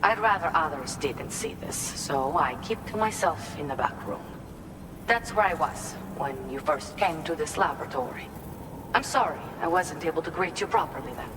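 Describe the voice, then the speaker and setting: An actress playing a reserved woman researcher in a video game, explaining things in a measured, politely confiding way. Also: low-pitched. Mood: candid, apologetic. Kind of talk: video game cutscene acting. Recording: long reverb, clear background noise.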